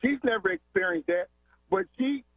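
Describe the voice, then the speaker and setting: A high-pitched man rants over a tinny phone line with a husky edge to his voice. He is from Philadelphia, calling into a talk show, and he stacks up repeated words and rhetorical questions as he presses his point. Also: very animated, normal speed, no room sound. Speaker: caller. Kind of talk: call-in radio rant.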